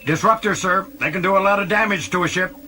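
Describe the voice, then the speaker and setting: A man's voice: high-pitched, quick pace, pushed and bright, slight background noise, pitched above the performer's own voice. A man voicing a dutiful, grim starship officer who reports in a clipped military way in a science fiction audiobook. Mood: grim, urgent. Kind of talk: audiobook character dialogue.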